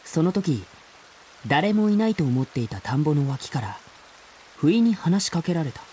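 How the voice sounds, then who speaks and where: A man playing a wistful narrator in a radio drama, recalling childhood in a quiet, reflective way. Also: on the high side, very animated, normal speed, slight background noise. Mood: suspenseful.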